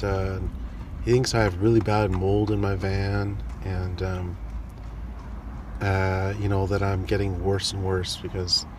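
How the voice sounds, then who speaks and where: A man with a stuffy head cold talks casually to his viewers in a low voice. He rambles, filling the gaps with ums and uhs. Faint traffic noise hums behind him. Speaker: vlogger. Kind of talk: casual talk to camera.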